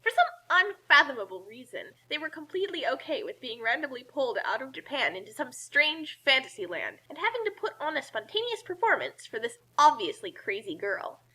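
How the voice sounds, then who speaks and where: A woman's voice: high voice, big pitch swings, fairly quick, few pauses, bright, forceful tone, breathy edge, low-quality recording. A woman narrating a scripted comic fan fiction tale in a theatrical, mock-epic manner, with music behind her.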